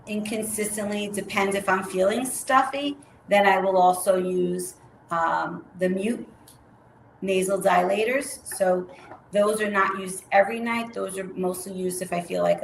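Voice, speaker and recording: Casting: woman interviewee, gravelly tone, roomy sound with light hiss, steady and explanatory. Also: mid-range voice. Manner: measured, explanatory, with fillers. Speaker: guest, myofunctional therapist. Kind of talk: conversational interview answers. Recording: roomy with faint noise.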